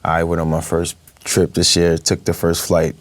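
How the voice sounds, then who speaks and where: A man's voice: low-pitched, completely flat delivery, moderate pace, no room sound. A young man, a guest in an interview, talking casually with many fillers and easy laughs. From Brooklyn, New York. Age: young adult.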